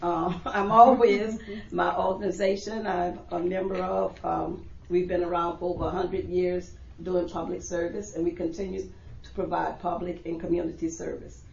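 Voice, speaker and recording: A middle-aged woman from New Orleans introduces herself at a civic meeting, earnest and measured. Her voice is gravelly and somewhat low. She sounds roomy and slightly muffled. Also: flat delivery. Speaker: commission applicant. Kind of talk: self-introduction at a public meeting. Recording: echoey room, dull lo-fi sound.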